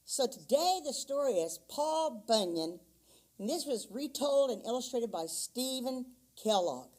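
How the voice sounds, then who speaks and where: Woman storybook reader, slow and animated delivery, a touch breathy. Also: fairly high pitch. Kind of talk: reading a picture book aloud. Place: church.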